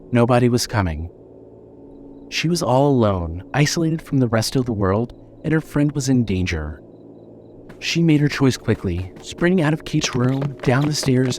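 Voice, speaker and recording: A man's voice: mid-range voice, darkish tone, a little hiss or hum. A man reading scripted suspense fiction as a narrator.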